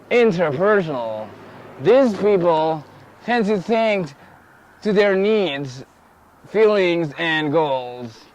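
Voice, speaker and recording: A man on the street explains a list one item at a time, in a slow, measured way. His voice is fairly high and moves around a lot, and faint noise sits behind him. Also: low-quality recording. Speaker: interviewee on the street. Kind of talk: listing and explaining types.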